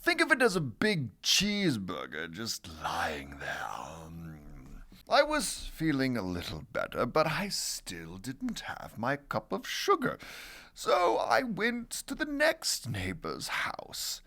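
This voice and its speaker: Sly folksy storybook wolf, played by a man: wildly swooping pitch, slow drawn-out delivery, theatrical and confiding, all wronged innocence. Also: wildly swooping pitch, bright, forceful tone, exaggerated voice. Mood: sly, conspiratorial. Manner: theatrical, drawn-out, conspiratorial asides with chuckles. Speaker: storybook narrator in character. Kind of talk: animated children's read-aloud.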